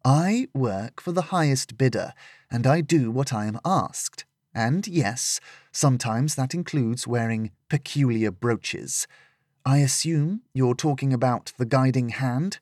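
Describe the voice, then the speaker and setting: A man reading a novel aloud, voicing a calm woman mercenary with slow, quiet, measured speech. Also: medium pitch, no room sound, clean studio sound, lightly acted. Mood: cool.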